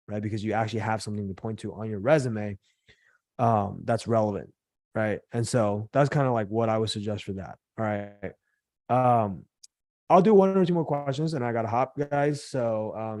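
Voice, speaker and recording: A man's voice: medium pitch, a little mellow, no echo, studio quality. A man who is a little sick and losing his voice, hosting a casual live Q&A and answering questions with lots of "like" and "right?". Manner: filler-heavy, lots of "like" and "right?". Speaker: host of a live Q&A for finance career coaching.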